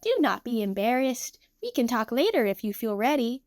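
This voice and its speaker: A gentle, kind virtual singer girl with a soft, reassuring way of talking, voiced by a woman. The voice is high and lilting, recorded dry and close. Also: sing-song, normal speed, no room sound.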